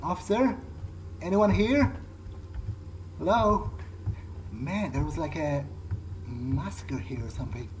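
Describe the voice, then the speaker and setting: A man playing a video game and speaking in character, in a halting way that repeats words. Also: a little high, a little hiss or hum, poor audio. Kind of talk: in-character roleplay game commentary. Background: game music.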